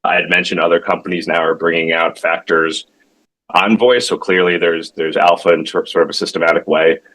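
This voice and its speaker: Tech founder, male, deep but crisp-edged voice, walking through a product informally, halting and conversational. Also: fairly even intonation, a bit bright, low-quality recording. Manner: halting, self-correcting, conversational, checks in with "right?". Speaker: tech company founder demonstrating his product. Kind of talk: informal product demo explanation.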